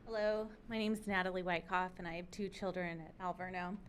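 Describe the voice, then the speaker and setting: Realtor mom at a city meeting mic, matter-of-fact, faint room hum. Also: female voice, fairly low pitch, steady tone, fairly quick. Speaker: parent and local realtor. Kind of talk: public comment at a city meeting. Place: council chamber podium.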